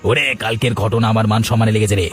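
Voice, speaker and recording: A man plays a vengeful but cowardly cartoon crook from a village. His lowish voice races along at extreme speed, with faint music behind. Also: fairly even intonation, rapid-fire, close to an everyday voice. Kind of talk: Bengali cartoon voice acting.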